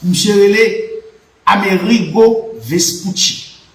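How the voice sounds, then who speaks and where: Haitian guy w creole accent preaching history, high voice, echoey room. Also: slow. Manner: fervent, repetitive, preaching cadence. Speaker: channel host. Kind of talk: live political and history talk.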